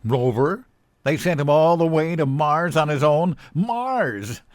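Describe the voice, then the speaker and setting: An actor playing a clueless, self-assured president in a comedic audio drama, hesitant and earnestly rambling. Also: male voice, fairly high pitch, expressive, slow, recorded from a distance. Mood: indignant. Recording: roomy echo, off the mic.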